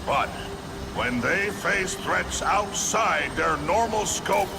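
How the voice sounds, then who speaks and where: A man voicing a middle-aged crime tycoon who speaks in a measured, oily way with cold menace. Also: sits high, animated, very noisy, full character voice. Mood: pompous. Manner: measured, oily, coldly threatening. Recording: game audio under loud music.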